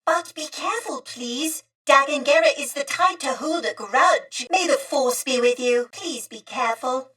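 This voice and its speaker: A courteous female droid in a video game, high-pitched and crisply bright. The droid speaks in formal, old-fashioned phrases, prim and a little fretful. The voice sounds slightly distant from the mic. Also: a little slow, hardly any pauses. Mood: warm, concerned. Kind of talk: video game character dialogue.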